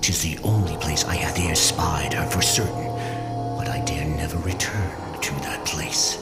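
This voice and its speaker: A man playing a grave, haunted, aging holy man in a fantasy game, with solemn archaic speech that is often breathy and hushed. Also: very deep voice, normal speed, in a whisper. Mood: foreboding. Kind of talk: dramatic fantasy game acting. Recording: echoey room, loud music behind.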